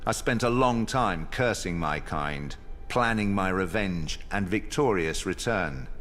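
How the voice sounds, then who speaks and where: Slow, deep-voiced trickster god villain. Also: male voice, animated, fairly bright tone, soft background music. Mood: brooding, defiant. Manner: sardonic, savoring each word, self-satisfied. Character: banished trickster god villain, smug, theatrical, gloating, ancient. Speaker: video game villain in story cutscenes. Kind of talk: theatrical dramatic monologue.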